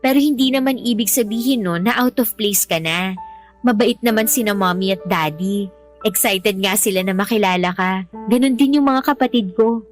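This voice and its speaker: A woman's voice: mid-range voice, normal speed, soft background music, natural voice. An actress playing an affectionate, exasperated young Filipino woman in a radio drama, teasing and emphatic as she talks to her partner. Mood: reassuring, exasperated.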